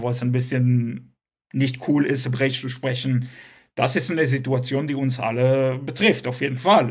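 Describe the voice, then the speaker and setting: A man, a Hebrew speaker, answering podcast interview questions hesitantly with many fillers and restarts. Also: mid-range voice. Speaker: guest.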